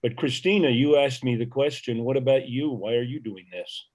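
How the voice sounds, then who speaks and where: Older man with a husky voice. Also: mid-range voice, normal speed, a little mellow. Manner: hesitant, many ums. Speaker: panelist. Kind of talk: panel discussion.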